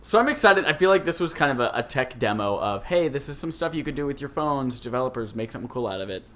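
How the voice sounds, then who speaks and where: A man's voice: medium pitch, lively intonation, fast, pushed and bright. A young adult man hosting a casual conversation about video games. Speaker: podcast host.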